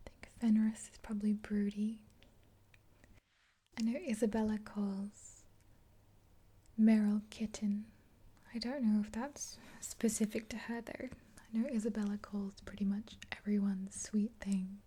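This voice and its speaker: A woman in her twenties whispering softly and gently in a rambling, casual ASMR roleplay, close to the mic. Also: medium pitch, flat delivery, measured pace, long pauses. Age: 20s. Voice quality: breathy whisper. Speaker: ASMR roleplayer as makeup artist.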